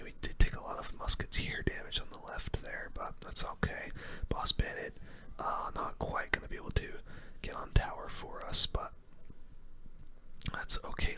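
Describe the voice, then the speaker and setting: A gaming host giving relaxed, casual ASMR commentary on a match in a soft, breathy whisper, full of gamer slang.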